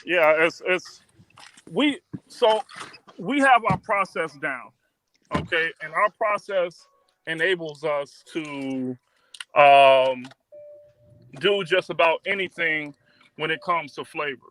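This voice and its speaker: A man's voice: on the high side, slow pace, pauses often, a bit bright, a little hiss or hum. A man who owns a popcorn shop, chatting as a guest, telling stories animatedly with restarts and repeated words. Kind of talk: casual interview chat.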